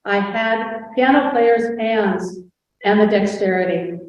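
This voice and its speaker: A woman's voice: medium pitch, slow pace, close to an everyday voice. A woman playing a proud, witty 19th-century noblewoman. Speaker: historical impersonator. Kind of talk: scripted first-person portrayal. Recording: roomy hall sound, far from the mic, dull.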